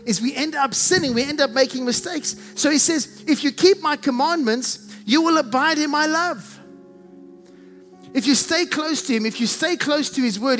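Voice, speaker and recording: A man with a South African accent delivers his sermon from the pulpit in an unusually high voice. He is emphatic, piles up synonyms and draws out the phrases he wants to stress. His voice rings through a reverberant hall while soft music plays faintly behind him. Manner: emphatic, repeats strings of synonyms, stretches key phrases slowly. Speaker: preacher.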